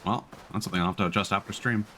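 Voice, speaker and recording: A man's voice: sits low, steady tone, rapid-fire, bright, forceful tone, a little hiss or hum. A man giving casual live gameplay commentary as a streamer, rambling and restarting his sentences mid-thought.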